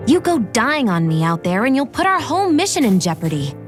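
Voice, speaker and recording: A stern young gunslinger. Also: female voice, on the high side, big pitch swings, fairly quick. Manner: curt and scolding. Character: stern young woman gunslinger, sharp-tongued. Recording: game audio over music.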